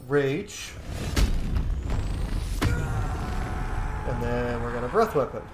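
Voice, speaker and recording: A man's voice: mid-range voice, wildly swooping pitch, drawn-out words, background noise. A man giving casual let's play commentary, thinking aloud about tactics with bursts of exclamation. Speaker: video game streamer. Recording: roomy sound over clear game music.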